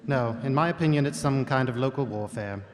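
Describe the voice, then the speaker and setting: Male actor as coolly authoritative patrician, mid-pitched, measured irony, stage radio play with hall reverb. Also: lightly acted. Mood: dry, skeptical. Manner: dry, measured, commanding. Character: coolly sardonic, authoritative ruling lord of the city. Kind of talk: live audio theatre acting.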